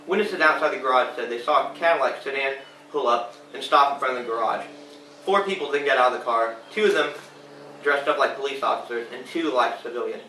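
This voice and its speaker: A man's voice: medium pitch, sharp tone, natural voice. A man playing an earnest 1920s radio news anchor, reading a scripted bulletin in an amateur period skit. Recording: distant, echoey room with faint noise.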